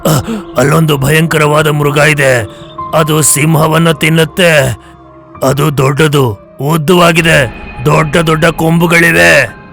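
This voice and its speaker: A cowardly lion king from a kids' cartoon. He sounds anxious and protesting, in a fairly high, gravelly male voice. Music sits behind him. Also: little pitch movement, slow, pauses often, fairly bright tone, exaggerated voice. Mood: frightened. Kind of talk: children's cartoon dub.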